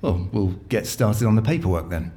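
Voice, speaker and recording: A man's voice: lowish voice, animated, normal speed, mellow. A man playing a sarcastic, jumpy police investigator in an audio drama, with a hesitant delivery. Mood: businesslike.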